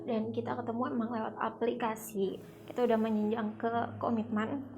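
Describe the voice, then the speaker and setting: High, flat-toned young woman over music. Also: moderate pace, muffled recording. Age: 20s. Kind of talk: casual interview answers. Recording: music playing behind. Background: background music.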